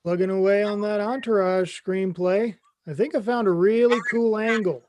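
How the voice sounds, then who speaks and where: A man's voice: sits high, fairly even intonation, normal speed, a little mellow, slight background noise, close to an everyday voice. A man playing a blunt, self-important amateur screenwriter in a comedy table read. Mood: smug.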